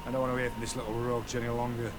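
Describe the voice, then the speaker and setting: An actor playing a menacing gang captain in a video game, haughty, sneering and full of cold contempt. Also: male voice, medium pitch, completely flat delivery, very fast, noisy background, lightly acted.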